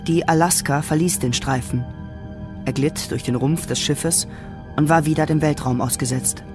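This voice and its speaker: A man's voice: a little high, fairly even intonation, normal speed, over music, natural voice. A man playing the sober narrator of a science fiction radio drama, reading scripted prose. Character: sober male storyteller of a science fiction tale.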